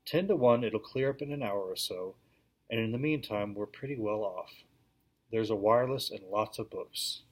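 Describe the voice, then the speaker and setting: A man's voice: fairly low pitch, moderate pace, natural voice. Male reader as a sensible, bossy English schoolgirl, prim and scolding.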